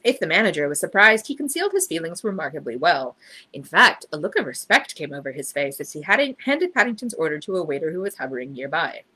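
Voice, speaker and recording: Low, animated, husky woman reading a story. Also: quick pace, low-quality recording. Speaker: storybook reader. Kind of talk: expressive children's story narration.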